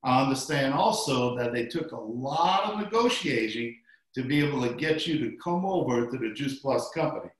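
A man hosting a promotional interview from his office, with a booming salesman delivery. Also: animated, moderate pace. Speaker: host. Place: office with framed photos. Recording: very echoey room.

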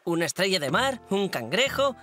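A woman's voice: mid-range voice, sing-song, moderate pace, natural voice. A woman voicing a cheeky, mischievous young boy in a dubbed cartoon, talking in a cocky, teasing way. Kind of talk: cartoon dubbing. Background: music.

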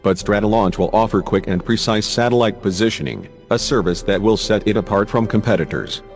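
A man's voice: fairly low pitch, fairly even intonation, fairly quick. A man reading scripted news narration as a narrator. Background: music.

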